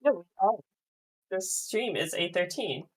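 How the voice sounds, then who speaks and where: A woman chatting casually about devices on a live voice call, full of fillers and quick laughs. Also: fairly low pitch, lively intonation, breathy edge, low-quality recording. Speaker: jailbreak developer on a stream. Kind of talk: casual tech chat.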